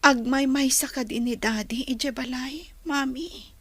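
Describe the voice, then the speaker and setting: A woman's voice: sits high, unhurried, breathy edge. A woman playing an anxious, vulnerable daughter confiding in her mother in a radio drama. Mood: worried, pleading. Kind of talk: radio drama acting in emotional dialogue.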